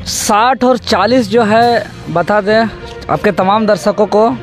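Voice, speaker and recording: A man's voice: high-pitched, slow, soft background music. A man giving a political interview as an activist, arguing emphatically and methodically with repeated phrases. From Jharkhand, India. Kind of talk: political interview, speech-like argument.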